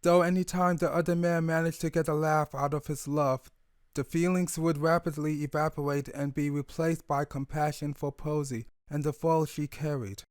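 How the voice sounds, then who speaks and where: A man narrating a scripted romantic audio story in a measured storytelling manner. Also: fairly high pitch, fairly even intonation, darkish tone, clean tone, no room sound, clean studio sound.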